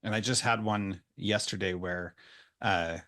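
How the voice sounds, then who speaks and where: A man with a fairly low voice hosts an interview podcast. He talks in a relaxed, chatty way, with frequent fillers and restarts, and keeps the tone friendly. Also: measured pace. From United States. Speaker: podcast host. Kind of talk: casual interview chat.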